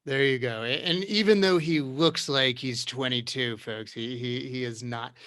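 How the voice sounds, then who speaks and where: A man's voice: medium pitch, normal speed, fairly bright tone, no echo. A man hosts an online panel in a chatty, encouraging way, often stumbling and restarting as he asks questions. His voice sits mid-range and sounds close and dry. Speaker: moderator. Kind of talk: hosting an online round-table.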